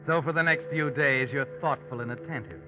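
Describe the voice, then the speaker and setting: A man's voice: medium pitch, fairly quick, natural voice. A man playing a taunting, all-knowing American narrator in 1940s radio noir style. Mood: knowing, ominous. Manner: taunting, addresses the character as you. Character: sinister all-knowing male narrator watching from the shadows. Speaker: narrator of a mystery drama. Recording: muffled old radio, loud music behind.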